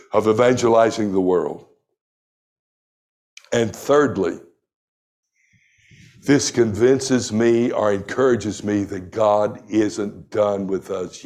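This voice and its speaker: Slow-preaching man in his sixties. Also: fairly low pitch, a little mellow. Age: 60s. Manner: slow, deliberate preaching with emphatic scripture reading. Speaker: pastor. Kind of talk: sermon.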